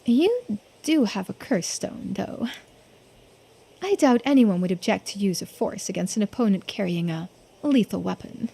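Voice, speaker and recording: A woman voicing a calm, polite but firm official in a video game, speaking in a measured, formal way. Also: medium pitch, vocal fry, husky.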